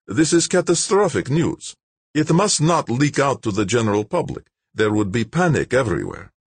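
A man's voice: medium pitch, very animated, normal speed, husky, dry recording, pitched above the performer's own voice. A man voicing a condescending male official who lectures in a raised, haughty voice. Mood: lecturing.

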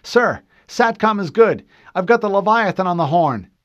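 A man voicing a brisk male soldier who gives quick radio reports. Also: sits high, lively intonation, pitched above the performer's own voice. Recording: dull lo-fi dry recording.

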